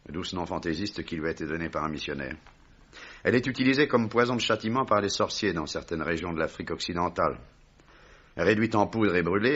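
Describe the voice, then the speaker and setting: A very deep, rough-edged male voice in a muffled, lo-fi radio drama, a grave and proud explorer. He speaks formally and heavily, like someone haunted by a lost love. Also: a bit bright. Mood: reserved, explanatory. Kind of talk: French radio drama acting. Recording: dull, lo-fi old radio recording.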